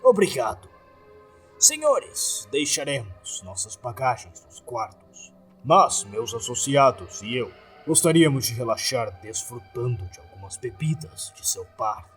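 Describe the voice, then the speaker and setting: A man's voice: medium pitch, big pitch swings, unhurried, a bit bright. A man with a Brazilian accent voicing a suave, menacing, grandiose cult leader in a horror story. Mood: polite, smug. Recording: background music under the voice.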